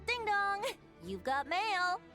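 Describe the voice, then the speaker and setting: High, lively game character. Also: female voice, takes it slowly, exaggerated voice. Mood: chirpy. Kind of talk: dramatic video game voice acting. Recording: long reverb under loud music.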